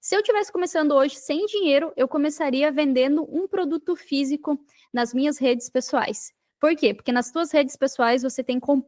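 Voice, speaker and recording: Woman, Brazilian accent, high pitch, podcast guest, casual, didactic, repeats for emphasis. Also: normal speed, darkish tone. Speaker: podcast guest, digital marketing coach. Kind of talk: casual explanatory interview talk.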